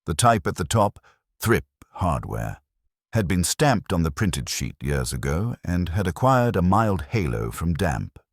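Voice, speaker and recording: Very deep, dry-sounding male narrator. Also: big pitch swings, unhurried, dry recording. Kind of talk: scripted literary narration of a mystery story.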